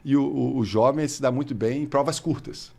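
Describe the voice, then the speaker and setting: A man's voice: medium pitch, fairly quick, gravelly, warm tone. A man in his fifties with a Brazilian Portuguese accent, a guest chatting casually in an interview and often laughing as he tells stories. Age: 50s.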